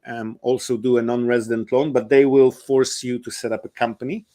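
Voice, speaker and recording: Man explaining property investing, mid-pitched. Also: flat delivery, fairly quick, dark tone. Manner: fluent, explains step by step with frequent ums and uhs. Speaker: real estate investment advisor, guest. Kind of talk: explanatory interview answers.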